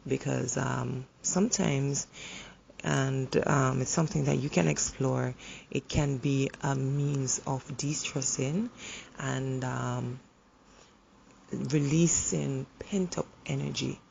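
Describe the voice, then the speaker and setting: A woman's voice, hoarse from a recent flu and deeply gravelly with fry. She speaks in an earnest, encouraging tone and often stops to restart her sentences as she shares her reflections. A faint hiss of noise sits behind her. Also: steady tone, takes it slowly, a little mellow, poor audio. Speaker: relationship speaker. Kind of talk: reflective motivational monologue.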